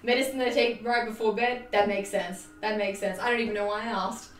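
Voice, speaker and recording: A young Australian woman chatting to her livestream viewers, animated and self-mocking, laughing often. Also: mid-range voice, brisk, a little hiss or hum. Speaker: livestream host. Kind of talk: casual livestream chat. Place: home sewing room. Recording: roomy, distant, faint music behind.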